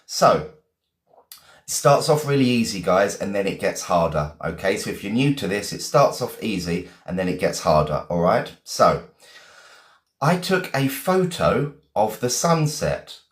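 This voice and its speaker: An English man, a teacher from Essex, hosts a live lesson and chats with his viewers, greeting them one by one with plenty of "um"s. His voice sits low. The room has a noticeable echo. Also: big pitch swings, a little slow. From England, Essex. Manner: chatty, greets viewers one by one, many "um" fillers. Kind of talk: casual live-stream lesson.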